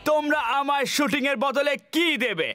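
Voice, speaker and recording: A man's voice: extremely high pitch, animated, moderate pace, loud music behind the voice. A man voicing a vain, bossy talking monkey in a cartoon, with a haughty, theatrical delivery. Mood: demanding. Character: vain, demanding talking monkey who wants film stardom. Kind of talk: cartoon dub acting.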